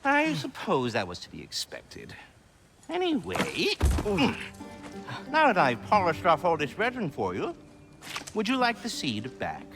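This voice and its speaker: Refined squirrel caretaker for fantasy game: prim, chatty, wildly swooping delivery, score underneath. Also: male voice, high-pitched, huge pitch swings, a little slow, vocal fry, long pauses, heavily acted. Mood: polite, breezy. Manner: prim, theatrical, flowery diction. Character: fussy, refined, chatty giant squirrel tending a world tree. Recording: music under the voice.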